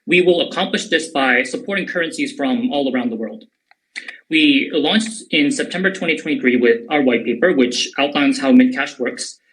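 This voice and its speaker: A man's voice: mid-range voice, a bit bright, poor audio. A man in his twenties who grew up in Korea, speaking as a crypto founder in a live audio interview, often restarting his sentences. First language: English. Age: 20s. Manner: hesitant, repeats words at starts of sentences.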